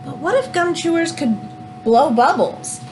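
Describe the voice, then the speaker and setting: A woman reads a children's book aloud in an expressive, teacherly way, adding explanatory asides as she goes. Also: sits high, a little slow, noisy background.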